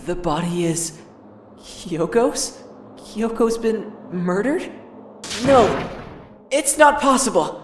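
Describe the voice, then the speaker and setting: A man voicing an earnest, anguished high-school boy in an introspective, dramatic anime fandub. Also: animated, slow pace, long pauses, roomy sound, background noise. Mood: shocked, anguished.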